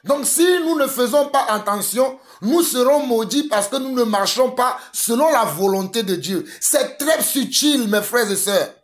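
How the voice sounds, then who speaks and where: A man's voice: very high-pitched, animated, measured pace, hardly any pauses. A man from Togo, preaching a fervent sermon that mixes prayer with urgent exhortation and repetition. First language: a Togolese language.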